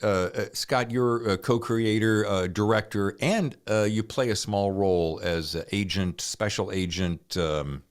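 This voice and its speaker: Low-voiced male podcast host, bantering. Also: unhurried, hardly any pauses, clear, resonant tone. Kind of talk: casual chat.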